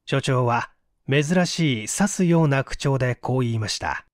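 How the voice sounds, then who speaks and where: A man's voice: medium pitch, expressive, a little slow, no room sound, clean studio sound. A man reading a mystery story aloud as a scripted literary narration. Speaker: audiobook narrator and reader.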